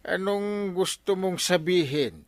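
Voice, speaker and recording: An actor playing a hesitant, food-loving village buddy in an Ilocano radio comedy, stammering and exclaiming. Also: male voice, sits high, slow, dry recording, studio quality. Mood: eager, hesitant.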